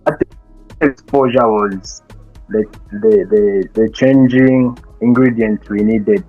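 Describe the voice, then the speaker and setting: A young man from Zambia, a guest in an interview, speaking thoughtfully with many pauses and restarts. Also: on the low side, fairly even intonation, dry recording, narrow, tinny audio, soft background music. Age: young adult. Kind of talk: casual interview talk.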